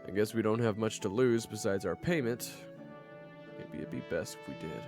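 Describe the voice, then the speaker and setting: A man acts out a jaded, easily exasperated monster hunter in an audio drama. His voice is low but swings widely, with dry, sardonic curtness. Music plays behind him. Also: lively intonation, moderate pace. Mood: wary, stern. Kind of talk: audio roleplay acting.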